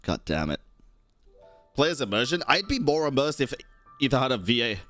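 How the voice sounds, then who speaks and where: A man's voice: medium pitch, sing-song, normal speed, over music. A man giving casual live commentary as a game streamer, slangy, sweary and reactive.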